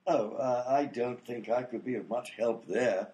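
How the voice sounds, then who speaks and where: A man playing a mild, fussy, easily flustered gentleman doctor and inventor, in a radio comedy. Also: mid-range voice, unhurried, natural voice. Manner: polite, fussy, flustered, old-fashioned exclamations. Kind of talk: old-time radio comedy acting.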